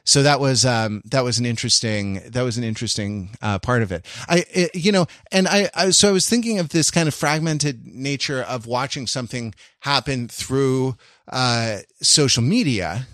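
A man's voice: medium pitch, moderate pace, a bit bright, no room sound, studio quality. A middle-aged man chatting as a podcast host in a casual, intellectual way, wry and digressive, often searching for his words. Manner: erudite, wry.

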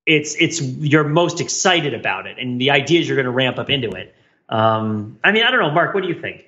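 A man's voice: mid-range voice, sing-song, brisk, bright, forceful tone, dull audio. A man co-hosting a casual podcast, thinking aloud in an analytical, discursive way. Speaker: podcast co-host. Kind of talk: casual panel chat.

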